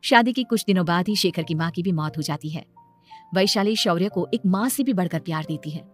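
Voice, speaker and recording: A woman storyteller delivers scripted narration for a moral story. Faint music plays behind her voice. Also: on the low side.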